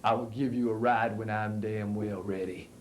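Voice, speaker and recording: A man doing a gruff, surly young brute. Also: lowish voice, steady tone, normal speed, a little hiss or hum, pitched below the performer's own voice, natural voice. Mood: dismissive.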